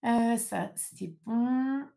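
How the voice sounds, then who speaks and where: A woman's voice: fairly high pitch, slow pace, creaky, warm tone. A woman hosting an informal live question-and-answer session, explaining things in a direct, emphatic way. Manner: emphatic, direct, advising listeners.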